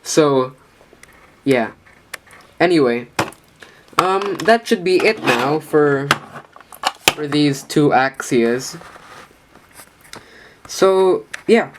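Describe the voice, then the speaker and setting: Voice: mid-range voice, animated, extremely slow, pauses often, background noise. A speaker casually reviewing items on camera as the channel host, thinking aloud in a rambling, hesitant way with lots of fillers and restarts. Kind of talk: casual hands-on product review.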